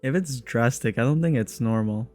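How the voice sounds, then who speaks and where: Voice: medium pitch, moderate pace, a little mellow, faint music behind. A game streamer giving casual, sardonic playthrough commentary with plenty of informal swearing. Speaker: game streamer reacting to a story quest.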